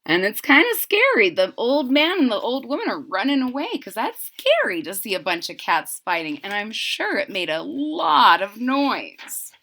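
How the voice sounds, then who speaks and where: A woman reading a picture book aloud to young children, expressive and stopping to explain in simple words. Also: fairly high pitch, normal speed, few pauses. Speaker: storybook reader.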